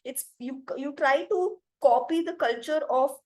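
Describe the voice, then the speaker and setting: A woman's voice: high-pitched, unhurried. A young woman with an Indian English accent, running an online debate training session and explaining motions with lots of "like" and "you know". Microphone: video call.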